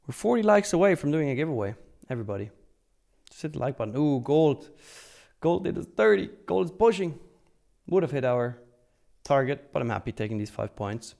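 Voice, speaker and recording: A man's voice: fairly high pitch, sing-song, a little fast, creaky, darkish tone. Informal man hosting a trading livestream. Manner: informal, joking, swears freely. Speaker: live stream host, futures trader. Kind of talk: casual live trading commentary, reading out and answering chat.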